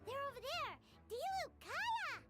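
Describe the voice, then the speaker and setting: A woman voicing a tiny, excitable fairy companion with a squeaky, childlike voice in a video game. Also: a little slow, full character voice. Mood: surprised, excited. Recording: game audio under loud music.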